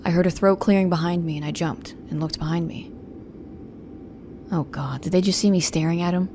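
A woman acts out a protective young huntress narrating her own story in an audio drama. Her voice is fairly low and quite soft-edged, with a brooding, stern restraint. Some faint noise lies underneath. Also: fairly even intonation, brisk, close to an everyday voice. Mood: reflective. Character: guarded, protective young woman with animal tail.

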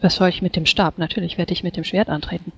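A woman speaks in character during a tabletop role-playing game. Her tone is brisk and matter-of-fact, with some filler sounds. Her voice comes through a dull, lo-fi microphone. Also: fairly low pitch, fairly even intonation, vocal fry, a bit bright, slightly rough, soft background music. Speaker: player.

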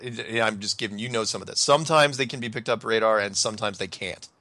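Male talk host: casual, animated interjector, sharp creaky delivery, dry close sound. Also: fairly low pitch, fast, no echo. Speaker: show host. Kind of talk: casual talk show chat.

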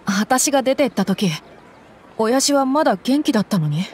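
A woman's voice: on the high side, animated, normal speed, slight background noise, natural voice. An actress playing a tough, blunt young woman mercenary in a game cutscene. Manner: blunt, rough-edged speech.

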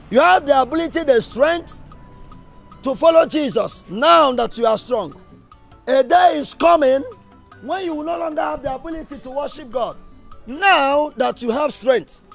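An actor playing a fervent evangelist, preaching emphatically with rhetorical questions and repetition. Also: male voice, extremely high pitch, creaky, full character voice. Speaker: street preacher. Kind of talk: sermon.

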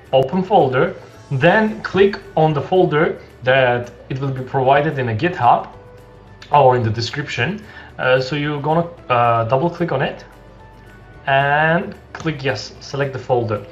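Halting young man explaining code. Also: mid-range voice. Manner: halting, many restarts and fillers, explanatory. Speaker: coding tutorial host. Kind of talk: screen-share coding tutorial. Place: small room indoors. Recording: dull lo-fi sound with faint music.

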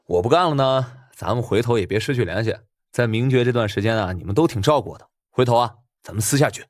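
A man's voice: mid-range voice, animated, no echo, clean studio sound, natural voice. A man playing a glib, chummy young casino worker in an audiobook drama, ingratiating and chatty. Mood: friendly, breezy. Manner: ingratiating, chatty, drawn-out particles. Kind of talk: Mandarin audiobook drama acting.

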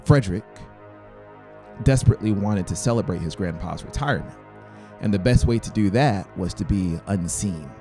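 A man in his thirties narrates dramatic fiction in a measured, expressive way, close to the mic. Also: lowish voice. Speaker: audiobook narrator. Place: small brick-walled home studio. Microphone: close handheld-style mic on boom stand. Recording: music playing behind the voice. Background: music.

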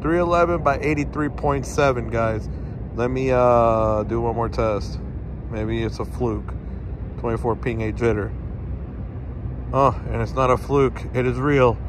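A man's voice: medium pitch, measured pace. A man filming on his phone at night on a city street, casually reading out network speed test results to his viewers. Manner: relaxed, reads out speed test numbers, addresses viewers as guys. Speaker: tech vlogger testing phone networks. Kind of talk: casual on-the-spot commentary to viewers. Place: outdoors on a city street at night near a stadium. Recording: outdoor phone recording with traffic noise. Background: passing traffic and street ambience.

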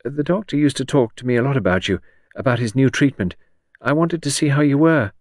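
A man's voice: mid-range voice, brisk, dry recording, clean studio sound, pitched above the performer's own voice, lightly acted. Man voicing an earnest, emotional woman, dryly recorded. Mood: earnest, urging. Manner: polite, urging, emotional. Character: earnest, kindly, grieving woman, friend of the late doctor. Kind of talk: audiobook character dialogue.

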